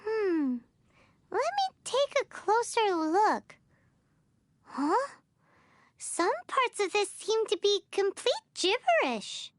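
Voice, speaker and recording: A woman voicing a tiny, cheeky, childlike fairy companion in a video game, excitable and squeaky. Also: slow pace, long pauses, dry recording, full character voice. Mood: curious. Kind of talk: game voice acting.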